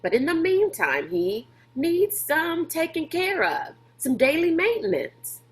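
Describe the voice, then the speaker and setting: Big brash schemer for a storybook, voiced by a woman: loud, folksy, bossy, unhurried. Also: high-pitched, sing-song, pitched above the performer's own voice. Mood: sly, boastful. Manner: loud folksy drawl, hollering, pushy.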